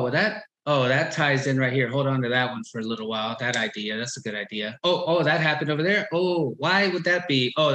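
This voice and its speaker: A man hosting a casual interview, talking in an animated, rambling way and repeating himself. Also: on the high side. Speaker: host. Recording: roomy echo.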